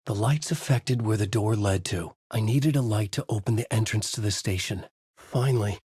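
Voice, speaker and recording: Husky guy doing moody haunted writer narration for a noir video game, really dry close sound. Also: lowish voice, a little mellow, no room sound. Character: brooding, haunted male thriller writer. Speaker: voice actor playing a writer protagonist who narrates.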